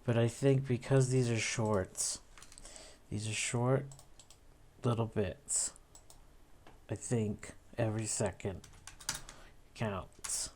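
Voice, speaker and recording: A man's voice: lowish voice, steady tone, takes it slowly, pauses often, darkish tone. A man narrating a casual editing tutorial, thinking aloud as he works with typing and clicking behind him. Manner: musing, thinking aloud with tag questions. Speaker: video editor demonstrating an edit. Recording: clear background noise with keyboard clicks.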